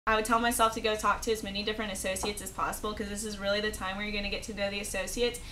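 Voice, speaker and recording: A young woman speaks casually in an interview with a bright, forward voice at a middle pitch. A faint rumbling noise runs under the recording. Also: level tone, rapid-fire. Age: young adult. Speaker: university student ambassador.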